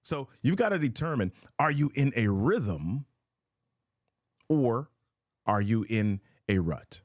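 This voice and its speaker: Man, motivational host, emphatic and repetitive, middle pitch, dry close sound. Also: very animated, slow, no echo. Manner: emphatic, repeats key phrases as questions. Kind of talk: motivational live-stream talk.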